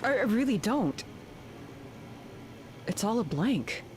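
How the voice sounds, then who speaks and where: Friendly game villager, slow delivery, highish swooping voice, faint noise behind. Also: female voice, very animated. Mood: puzzled. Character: friendly villager woman greeting a long-lost friend.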